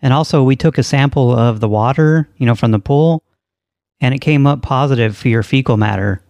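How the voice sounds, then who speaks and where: A man hosting a prank call show in a casual, deadpan and insistent way. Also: medium pitch, a little fast, no echo. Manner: casual, insistent, straight-faced.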